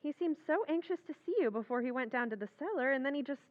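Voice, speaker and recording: A woman's voice: high voice, animated, fast talker, long reverb tail, narrow, tinny audio. A woman playing a sweet, timid, affectionate young wife in an old-time radio drama recreation. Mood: puzzled.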